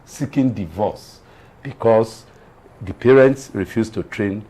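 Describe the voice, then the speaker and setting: A man's voice: on the low side, slow, warm tone, slightly rough. Male Nigerian lawyer type for TV panel; earnest, preachy, drives points home by repetition. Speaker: guest lawyer, panel commentator. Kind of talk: TV panel discussion, moralising commentary.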